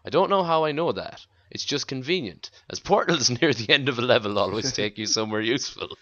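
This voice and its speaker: A man's voice: medium pitch, animated, a little fast, bright, forceful tone, no echo, muffled recording. A young man casually chatting and joking while playing a game with a friend, with playful asides. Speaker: gamer co-host. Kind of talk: casual let's-play chat.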